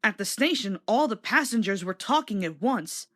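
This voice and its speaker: A woman narrating a children's storybook aloud in an expressive, scripted read-along style. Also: medium pitch, normal speed.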